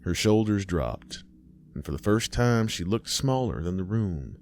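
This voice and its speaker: Deep-voiced man narrating a horror story. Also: big pitch swings, measured pace, a little mellow.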